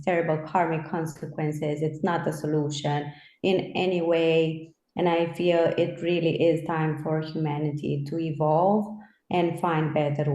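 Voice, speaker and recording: A woman's voice: sits low, warm tone. A woman in her thirties from Romania, interviewing a guest in an earnest, reflective way. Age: 30s. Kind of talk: conversational interview. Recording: roomy echo.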